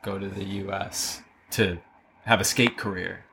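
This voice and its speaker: A man's voice: sits low, fairly even intonation, measured pace, creaky, sharp tone, slight background noise, rough recording. A man hosting an interview, laid-back and slangy, with short agreeing replies. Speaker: interviewer, host. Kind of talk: casual chat.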